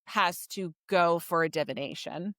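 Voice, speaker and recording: Bright, emphatic woman recapping a book. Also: on the low side, unhurried, no room sound, studio quality. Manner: chatty, informal, emphatic retelling. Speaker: podcast co-host. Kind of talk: casual book discussion recapping a story.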